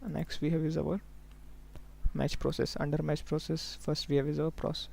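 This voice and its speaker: A man working as a software trainer, giving a step-by-step live tutorial in an instructional way, often prompting listeners to follow along. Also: on the high side, level tone, moderate pace, vocal fry. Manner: instructional, often checking in with okay and look here.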